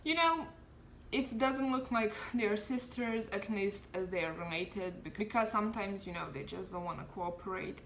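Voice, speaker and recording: A woman's voice: mid-range voice, fairly bright tone, rough recording. A woman in her twenties from Serbia chats her way through a makeup routine at home. She sounds self-deprecating and loose, and her mid-range voice carries lots of "you know" and "like." A faint hiss of noise sits under the recording. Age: 20s. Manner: chatty, self-deprecating, many fillers. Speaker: beauty vlogger. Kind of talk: casual makeup tutorial chat.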